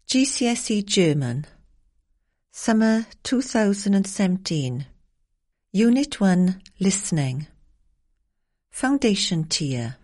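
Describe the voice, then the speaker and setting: A woman reading formal instructions for a listening exam in a measured way. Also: mid-range voice, big pitch swings, a little mellow. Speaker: exam narrator.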